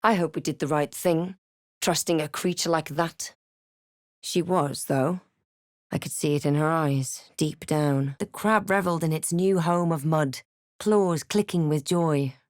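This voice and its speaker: A woman voicing a wry, resolute adventurer and spellcaster in a fantasy game, with measured, dramatic delivery. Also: low voice, no room sound, studio quality. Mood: wry, guarded. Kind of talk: fantasy game voice lines: narration, remarks, incantations.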